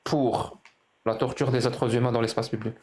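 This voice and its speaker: A man's voice: medium pitch, flat delivery, moderate pace, a crowd in the background. A man hosting a live debate, questioning methodically and insistently, often repeating his points. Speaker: debate show host.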